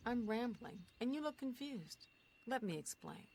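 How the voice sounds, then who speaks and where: A woman's voice: fairly high pitch, lively intonation, moderate pace, a little mellow, a little hiss or hum. An actress playing an aggrieved, frank townswoman and wife in a fantasy video game. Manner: frank, rambling, exasperated. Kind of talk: video game dialogue acting.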